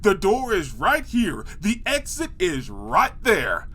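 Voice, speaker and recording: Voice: mid-range voice, huge pitch swings, slow pace, fairly bright tone, husky, exaggerated voice. An actor voicing a sarcastic, whiny cartoon pony, a reluctant hero who stammers exasperated protests in a comedic audio drama. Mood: panicked indignation.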